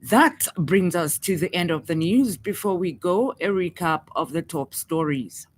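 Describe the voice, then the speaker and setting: A woman's voice: lowish voice, animated, a little slow, a little hiss or hum. A woman with a Zambian accent reads a scripted radio news bulletin as the news reader.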